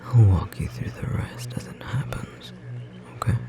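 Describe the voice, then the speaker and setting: A performer voicing a confident, tender storm sorcerer partner in a whispered ASMR roleplay, breathy and slightly rough, guiding slowly and soothingly. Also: mid-range voice, lively intonation. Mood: gentle reassurance. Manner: slow, soothing, intimate guiding delivery.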